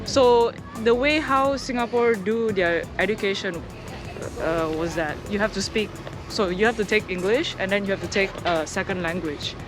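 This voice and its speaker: A woman's voice: mid-range voice, normal speed, over music. A young adult woman from Indonesia, a guest in a casual interview, speaking hesitantly with many fillers and restarts.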